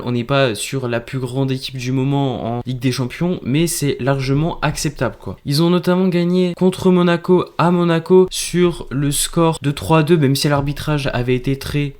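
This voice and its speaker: A man previews a football match in a loose, chatty way. He often restarts his sentences and drops fillers as he talks. His voice sits a little on the high side. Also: a little mellow. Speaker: football vlogger. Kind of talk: casual match preview commentary.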